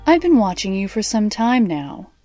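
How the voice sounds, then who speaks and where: Superhero audio drama: actress as no-nonsense female blade fighter, velvety dark tone, measured menace, faint music behind. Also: medium pitch, big pitch swings, close to an everyday voice. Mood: cool, teasing. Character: cool no-nonsense human superhero-fighter woman, teasing and menacing.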